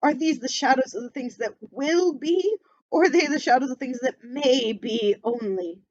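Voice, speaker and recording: A woman's voice: moderate pace, a little mellow, no echo. Woman doing a pleading old male miser. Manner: desperate, shrill, cries out. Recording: dull lo-fi audio.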